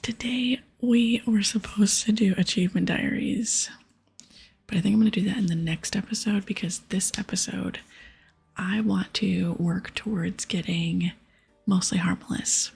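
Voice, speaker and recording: A woman host whispering softly and breathily as she gives gentle, chatty ASMR commentary on a video game. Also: medium pitch, measured pace, creaky, slightly rough.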